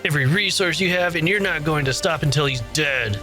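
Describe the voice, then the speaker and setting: A man's voice: a little high, a little fast, music in the background, lightly acted. A man voicing a brash, domineering young male vampire hunter in a visual novel, blunt and bossy.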